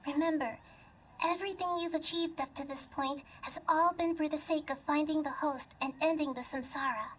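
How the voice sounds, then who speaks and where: A woman plays a girlish little goddess from a game, high in pitch and measured in delivery. She sounds calm, gentle and wise, a bit away from the mic with faint noise behind. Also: distant mic, close to an everyday voice. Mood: grave, resolved. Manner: measured, explanatory. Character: small young female deity, calm, wise and gentle. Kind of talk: video game cutscene acting.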